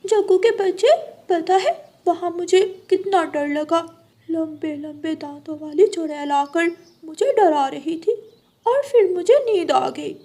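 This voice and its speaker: A woman's voice: very high-pitched, a little slow, mellow. A woman voicing a sulky, indignant young boy bird in a children's moral cartoon.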